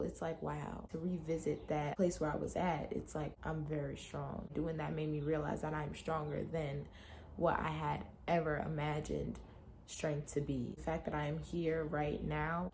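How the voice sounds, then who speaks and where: A young woman vlogging from her room, candid and emotional as she talks straight to viewers, her throat a little raspy after a cold night. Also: low voice, a little mellow, a little hiss or hum. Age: young adult. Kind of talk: personal reflective vlog.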